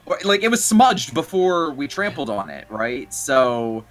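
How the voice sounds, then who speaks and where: A man's voice: on the high side, big pitch swings, a little slow, a bit bright. A man in casual group banter during a game fan dub, joking and blunt, swearing freely.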